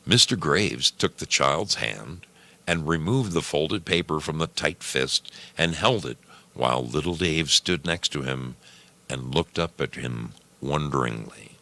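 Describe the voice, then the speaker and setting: Slow deep guy reading a story dramatically, gravelly, really lively swings. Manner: deliberate, theatrical. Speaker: storyteller, host of radio story program. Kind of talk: dramatic narration of a short story.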